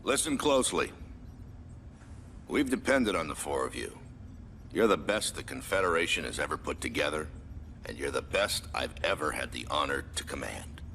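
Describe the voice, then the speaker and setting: An actor playing a proud, stern military commander who speaks with solemn, emphatic authority in a video game. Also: male voice, rumbling low, lively intonation, moderate pace, sharp tone, slight background noise, heavily acted. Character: proud, stern male military commander briefing his elite squad. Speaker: commanding officer. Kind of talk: dramatic video game cutscene acting.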